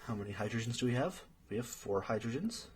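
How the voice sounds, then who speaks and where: A man's voice: mid-range voice, animated, quick pace, darkish tone, husky. A man playing a friendly young friend in an ASMR roleplay, patiently tutoring and thinking aloud in a hesitant way. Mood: patient, explaining. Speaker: study partner.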